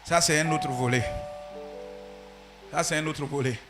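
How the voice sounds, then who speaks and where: A man preaching a sermon as pastor, swinging from shouted peaks to quiet asides. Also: a little high, measured pace, music in the background.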